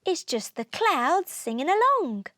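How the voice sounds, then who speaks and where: A small island boy from a storybook, acted by a woman reader, friendly and reassuring. The voice is high and sounds close and dry. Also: sing-song, unhurried, a bit bright, no echo, full character voice.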